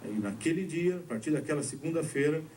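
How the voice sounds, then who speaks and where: A man's voice: lively intonation, brisk, a little mellow, slight background noise. A Brazilian man preaching, telling his testimony to a congregation and voicing the people in his story. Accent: Brazilian Portuguese. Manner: storytelling, acting out dialogue with shifts of pitch. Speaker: pastor. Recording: distant mic with faint ambience.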